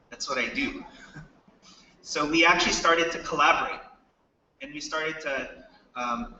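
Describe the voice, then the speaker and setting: A man's voice: a little high, pushed and bright, a little hiss or hum. An American man giving a casual startup talk to students, asking the audience questions. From United States. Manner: chatty, asks the audience questions. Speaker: startup co-founder speaker. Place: hall with a live audience. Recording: echoey hall, mic from far off.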